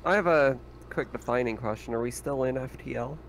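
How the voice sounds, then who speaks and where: A man's voice: mid-range voice, fairly quick, fairly bright tone, a little hiss or hum. A man chatting casually as a player during a tabletop game session.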